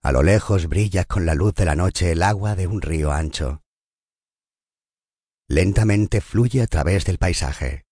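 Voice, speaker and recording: A man narrating a scripted guided meditation in a calm, soothing and unhurried manner. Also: low voice, slightly rough, no room sound, studio quality.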